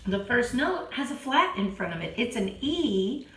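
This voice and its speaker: A hoarse woman who keeps clearing her throat, patiently teaching a children's keyboard lesson and counting beats aloud. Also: a little high, very animated, a little slow, a bit bright. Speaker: piano teacher. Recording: roomy, from a distance. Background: keyboard playing.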